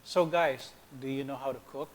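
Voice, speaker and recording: A man's voice: mid-range voice, steady tone, moderate pace, slight background noise. A man hosting and moderating a roundtable talk as a consulate official.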